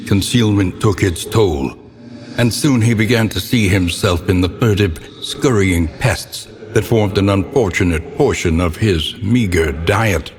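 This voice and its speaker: A male actor voicing a grim, ominous storyteller for a dark gothic game, narrating in a grave, theatrical, measured way with ornate delivery. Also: deep voice. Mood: somber, dramatic. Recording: long reverb, noisy ambient bed.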